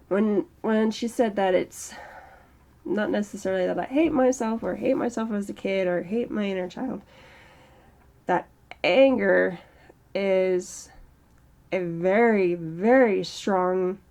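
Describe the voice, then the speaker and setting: A woman in her early thirties talking slowly and reflectively in a personal vlog, with a strained throat. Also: mid-range voice, gravelly, a little mellow. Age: early 30s. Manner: slow, halting, reflective. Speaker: channel host. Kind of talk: personal mental health vlog monologue.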